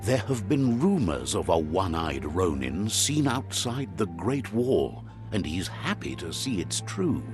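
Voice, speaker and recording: A man narrating a game's story calmly, like a storybook, and relaying what a creature says. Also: deep voice, measured pace, hardly any pauses. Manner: calm storyteller, translating a creature's speech. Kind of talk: scripted storybook narration for a game. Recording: game audio with faint music behind.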